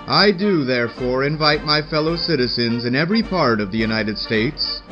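A man's voice: mid-range voice, a bit bright, natural voice. Actor as a stately statesman, muffled lo-fi sound. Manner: formal, stately. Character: formal statesman solemnly reading a national proclamation. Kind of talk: declamatory proclamation reading. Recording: dull, lo-fi sound.

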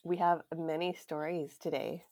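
A woman's voice: deep voice, steady tone, normal speed, warm tone. A congested woman co-hosting a podcast, chatting casually and giggling. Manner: giggly, chatty with many fillers. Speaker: podcast co-host. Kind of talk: casual chat.

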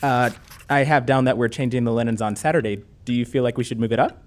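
An actor playing a mild, orderly household assistant in audio fiction, polite and matter-of-fact. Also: male voice, medium pitch, steady tone, fast talker, natural voice. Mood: polite, careful.

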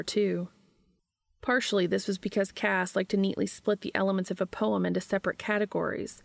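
A woman's voice: mid-range voice, gravelly, no echo. A woman reading a scripted story aloud as an audiobook-style narrator. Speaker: fan fiction narrator.

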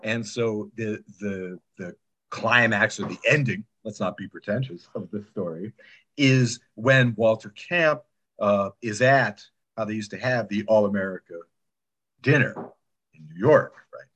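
A man's voice: fairly low pitch, measured pace, a bit bright. A man talking casually as a producer in an interview, digressive and emphatic, often tagging points as questions. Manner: digressive, emphatic, checks in with "right?". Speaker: podcast drama producer.

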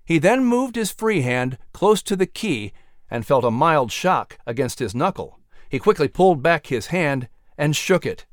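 A man's voice: a little high, big pitch swings, a little slow, no echo, studio quality. A man narrating an audiobook story in a dramatic, scripted style.

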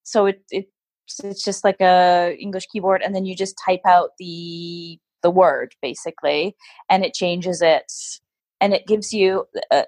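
A woman's voice: on the low side, fairly even intonation, unhurried, a little mellow, no room sound. Canadian woman explaining in casual chat. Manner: self-deprecating, laughs often, explains patiently. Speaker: interview guest.

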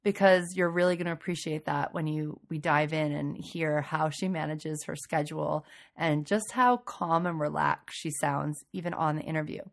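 A woman hosting a casual podcast intro, raspy from getting over a cold, chatty and enthusiastic. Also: sits low, steady tone, moderate pace. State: recovering from a cold. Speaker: podcast host.

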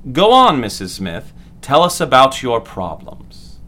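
A man's voice: medium pitch, wildly swooping pitch, a little slow, sharp tone, exaggerated voice. A man playing a pompous, patronizing doctor lecturing students formally in a 1940s-style audio drama. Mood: coaxing, patronizing. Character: pompous male doctor lecturing medical students, clinically smug.

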